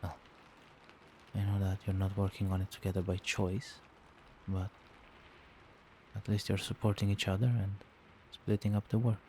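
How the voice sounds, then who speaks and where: A man playing a doting, protective vampire boyfriend in a soft-spoken, soothing and attentive ASMR roleplay. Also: low voice, little pitch movement, normal speed, pauses often, slight background noise, close to an everyday voice, low-quality recording. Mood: tender, concerned. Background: rain.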